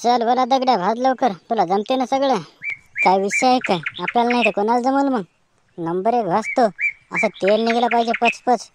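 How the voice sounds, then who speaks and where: High-pitched rural Maharashtrian woman, cartoon banter. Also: normal speed, heavy background noise. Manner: colloquial village banter. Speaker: cartoon voice performer. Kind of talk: rustic comic cartoon dialogue.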